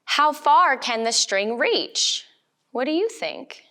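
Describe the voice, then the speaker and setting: Woman reading as a curious little boy, higher-pitched. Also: very animated, takes it slowly, bright, forceful tone, heavily acted. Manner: questioning, wide-eyed.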